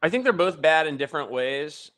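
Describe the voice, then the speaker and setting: A man's voice: on the high side, lively intonation, fairly quick, fairly bright tone. A young man co-hosting a casual postgame sports podcast over a video call, talking through the matchup in a rambling, analytical way with many fillers and restarts. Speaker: podcast co-host.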